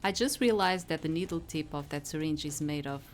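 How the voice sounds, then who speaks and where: Woman reading visual novel story out loud, bit low pitched, dramatic, faint music behind. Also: a little fast. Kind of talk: dramatic story narration with acted dialogue.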